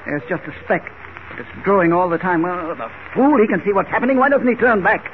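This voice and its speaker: An actor playing a harried, anxious airfield manager in an urgent, clipped 1940s radio style. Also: male voice, high-pitched, a little fast, lightly acted. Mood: tense. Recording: tinny, hissy 1940 radio recording.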